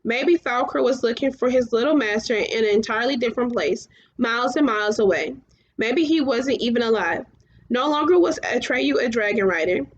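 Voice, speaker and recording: A woman with a high voice reads a fantasy storybook aloud. She is a little halting and repeats phrases to correct herself. The recording is slightly muffled and lo-fi. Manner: halting, often stumbles and rereads phrases. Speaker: storybook reader. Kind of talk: read-aloud children's fantasy narration.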